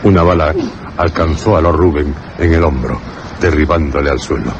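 A man's voice: extremely low pitch, normal speed, lo-fi sound, heavy background noise. A man with an accent from Spain narrating a scripted radio drama.

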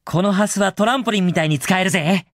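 A man's voice: high voice, quick pace, fairly bright tone, no echo, clean studio sound. A male actor voicing a cocky, daring young cartoon hedgehog hero in a video game, with cool, confident, casual delivery. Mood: observant, cool. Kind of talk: video game voice acting, short in-game lines.

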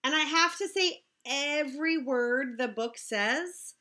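A woman's voice: high voice, slow pace, bright, forceful tone, full character voice. A woman playing an exasperated grown-up forced to read a silly children's book aloud, theatrical and protesting. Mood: indignant disbelief.

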